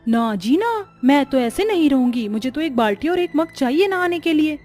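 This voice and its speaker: A woman's voice: high-pitched, expressive, moderate pace, darkish tone, soft background music. A woman voicing a feisty, naive poor village daughter-in-law in a cartoon story, plain-spoken and protesting. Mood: baffled, protesting.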